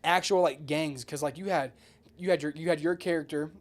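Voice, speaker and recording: A man with a slightly high voice chats casually as a podcast co-host. He is enthusiastic and loose, using slang and swearing freely. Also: steady tone, a little fast. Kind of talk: casual podcast chat about video games.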